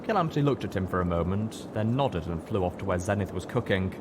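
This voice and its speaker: Guy reading an audiobook style story, real deep voice, bit of a noisy background. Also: expressive, quick pace. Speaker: audiobook reader. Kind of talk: scripted first-person story narration.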